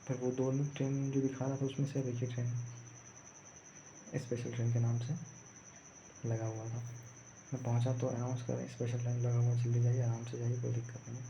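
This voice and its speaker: A man's voice: mid-range voice, completely flat delivery, fairly quick, gravelly, long pauses. A young man telling a story casually, in a rambling, repetitive way. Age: young adult. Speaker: vlogger recounting own exam day. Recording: muffled lo-fi audio, faint background noise.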